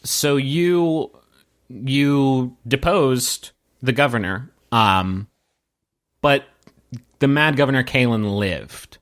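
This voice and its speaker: A man with a medium-pitched voice acts as game master for a tabletop roleplaying game. He improvises his narration, addressing the player directly and pausing to search for the next words. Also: a bit bright.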